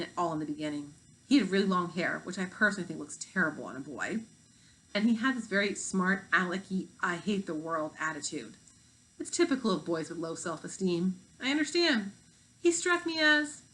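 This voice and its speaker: A prim fifth-grade overachiever voiced by a woman speaks with earnest emphasis. She is a storybook schoolgirl, studious and quick to judge. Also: lowish voice, bright, forceful tone, poor audio. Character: earnest, prim, judgmental high-achieving fifth-grade girl.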